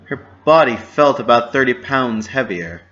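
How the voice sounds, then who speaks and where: A man's voice: mid-range voice, animated, a little slow, a bit bright, muffled recording. A man narrating a story as a scripted dramatic reading.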